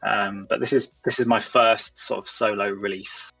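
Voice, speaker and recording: A native English-speaking man with a fairly low voice chats casually as an interview guest. He sounds distant on a remote call, with faint music in the background. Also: fairly even intonation, moderate pace, creaky, sharp tone, husky, dry recording, muffled recording. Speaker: guest game developer.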